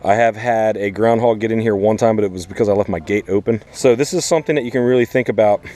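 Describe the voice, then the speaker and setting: A man's voice: fairly low pitch, fast, dark tone, soft background music. A man hosting a casual how-to vlog, talking directly to viewers and explaining step by step like a teacher. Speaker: vlog host, teacher and gardener. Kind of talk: casual instructional vlog talk.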